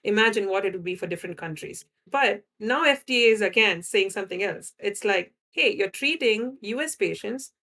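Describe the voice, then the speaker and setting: A woman's voice: mid-range voice, animated, moderate pace, hardly any pauses, sharp tone. A woman from India with an Indian accent, a guest scientist explaining her work in a conversational interview, often checking in with "right?". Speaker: guest scientist in drug development.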